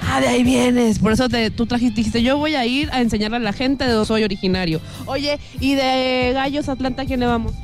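A woman's voice: fairly high pitch, fairly even intonation, brisk, few pauses, faint music behind. A woman with a Mexican accent hosting casual on-location interviews with fans in a playful, teasing, chatty way. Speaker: radio promotion host interviewing football fans.

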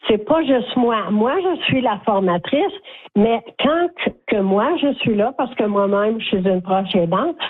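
A woman's voice: mid-range voice, expressive, a little slow. A middle-aged Franco-Ontarian woman from Northern Ontario, guest in a radio interview, chatty and emphatic, often checking in with "OK?". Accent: Franco-Ontarian French. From Northern Ontario, Canada. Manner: chatty, emphatic, peppers speech with "OK?". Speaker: guest, caregiver group facilitator. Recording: tinny phone line.

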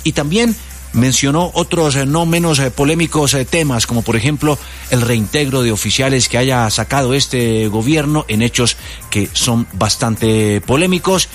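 A man presenting a midday news show on the radio, with a Colombian Spanish accent. He speaks at a middling pitch, in a lively, personal tone broken by small fillers. Faint music plays behind him in the studio. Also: moderate pace. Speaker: news anchor. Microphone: studio microphone.

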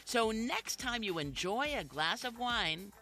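A woman's voice: a little high, sing-song, slow pace, sharp tone, faint music behind. A woman hosting a short scripted radio science segment, delivered in a playful, theatrical way.

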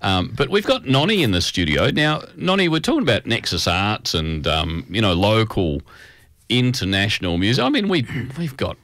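A man's voice: on the low side, wildly swooping pitch, normal speed, a bit bright, no room sound. A man hosting a radio show in casual, rambling, conversational talk from a studio. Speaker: radio host. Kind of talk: casual on-air chat. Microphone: close studio microphone.

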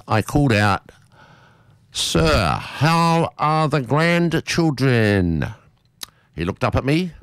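An Australian man hosts talk radio with a big, strong, fairly low voice that swings widely in pitch. He is chatty and playfully theatrical with his emphasis, and the sound is close and dry. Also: no room sound. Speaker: radio presenter.

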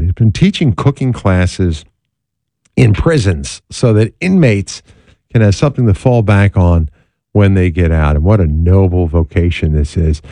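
A male talk show host with a low, mellow voice speaks earnestly in a close, dry studio. He talks casually with some fillers, then rises to a preacher-like emphasis on his key points. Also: no echo. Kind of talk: talk show monologue and interview.

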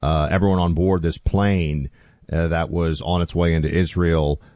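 A man hosting a political talk radio show, calm at first, then heated and indignant, his voice climbing high. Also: normal speed, no echo.

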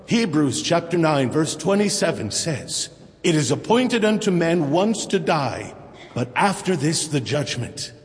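A revival preacher in a radio drama, high-pitched and fervent. He declaims and pleads solemnly, his words ringing through a long hall reverb. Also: sing-song, unhurried. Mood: urgent appeal. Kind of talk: evangelistic sermon.

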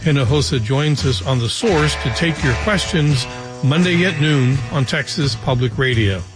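Male promo announcer, brisk read, mid pitch, music bed underneath. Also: expressive.

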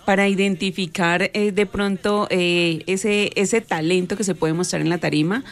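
A woman from Boyacá speaks as a radio guest with a Colombian accent. Her voice is fairly low, and her phrasing is courteous and encouraging. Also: steady tone, normal speed. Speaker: guest, shopping centre marketing representative. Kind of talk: informal radio interview.

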